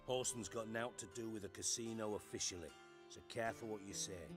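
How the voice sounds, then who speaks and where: A man's voice: on the low side, fairly even intonation, brisk, music in the background, natural voice. An actor playing a scheming, sardonic male spymaster in a game cutscene, delivering dry, menacing lines.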